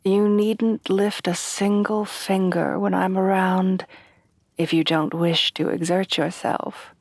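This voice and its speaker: A woman plays an elegant headless horsewoman bride, devoted and formal, in an intimate ASMR roleplay. She speaks very slowly and softly, with a breathy tone that often sinks into a whisper. The sound is close and dry, without room echo. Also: mid-range voice, little pitch movement. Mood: gentle, doting. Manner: refined, formal, courtly, tenderly doting. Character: refined, devoted headless horsewoman bride-to-be, adult monster girl. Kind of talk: soft-voiced romantic ASMR roleplay.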